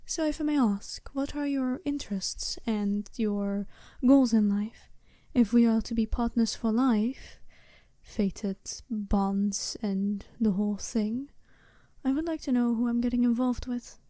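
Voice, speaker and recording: A woman playing a smooth, businesslike and seductive werewolf bride in a soft-spoken ASMR roleplay, speaking politely and formally in measured phrases. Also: on the high side, no echo, studio quality, natural voice. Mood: courteous, curious.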